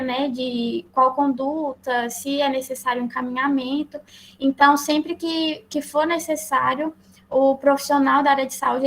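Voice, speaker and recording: Woman, Brazilian accent, high voice, lecturer, halting explanatory delivery, some background noise. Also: a bit bright, rough recording. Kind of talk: slide presentation to health professionals.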